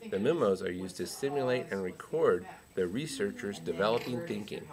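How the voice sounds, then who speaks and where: A man's voice: lively intonation, moderate pace, crowd noise, lightly acted. A man voicing a goofy academic researcher puppet in a comic explainer, playful and silly. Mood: playful explaining. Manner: playful, silly, with high whoops.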